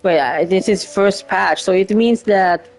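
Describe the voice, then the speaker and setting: Female streamer, casual gameplay commentary, hesitant think-aloud delivery, medium pitch, soft music behind. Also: unhurried. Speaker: game streamer.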